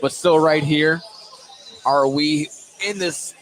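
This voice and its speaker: A man's voice: a little high, steady tone, slow pace, fairly bright tone. A man doing informal live play-by-play basketball commentary, sometimes stumbling and correcting himself. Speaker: play-by-play sports announcer. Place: indoor basketball gym. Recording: noisy live gym broadcast.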